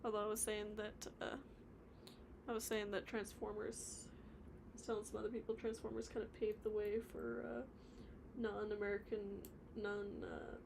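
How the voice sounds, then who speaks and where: Woman animator chatting on a stream, rambly, gravelly voice, lots of background noise. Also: mid-range voice, little pitch movement, moderate pace. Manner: chatty, rambling, trails off into mumbled asides. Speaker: stop-motion animator streaming live. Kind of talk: casual livestream chat reading viewer comments. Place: home workspace, animating on the floor.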